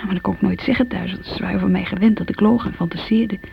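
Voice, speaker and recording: A woman's voice: medium pitch, fast, vocal fry, highs cut off, a little hiss or hum. An actress playing an insecure, wounded woman in an intimate, reflective radio drama monologue. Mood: bitter, powerless.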